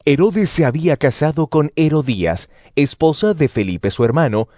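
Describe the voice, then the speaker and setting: A man narrating scripture as a dramatized story, with expressive storytelling delivery. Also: a little high, normal speed, no echo, studio quality. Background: music.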